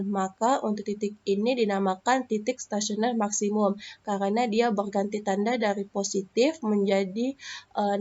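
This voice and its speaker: A young woman from Indonesia, a math student, explains a lesson step by step in a screen recording, pausing often with hesitations. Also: mid-range voice, darkish tone, highs cut off.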